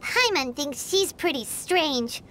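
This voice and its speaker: A woman voicing a small, excitable, blunt fairy companion in a video game, with a squeaky childlike voice. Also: slow pace, slight background noise, heavily acted. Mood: earnest explaining. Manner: refers to herself in the third person, exclaims readily. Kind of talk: video game character dialogue. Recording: game music loud behind voice. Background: playful game music.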